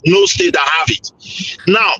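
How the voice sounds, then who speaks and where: A man's voice: high-pitched, a little slow, pushed and bright, muffled recording, slight background noise. A Nigerian man with a Nigerian accent in a heated panel debate, insistent, talking over others with stammering restarts.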